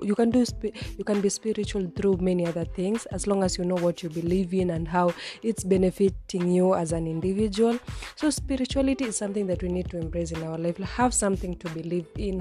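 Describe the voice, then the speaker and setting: Mellow-voiced young woman hosting a podcast. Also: mid-range voice. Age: 20s. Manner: chatty, rambling, frequent restarts. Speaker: podcast host. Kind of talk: casual solo podcast talk.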